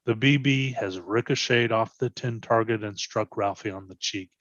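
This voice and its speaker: A man's voice: lowish voice, normal speed, fairly bright tone, no room sound. A man reading stage directions as dramatic scripted narration for a readers theatre. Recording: dull, lo-fi sound.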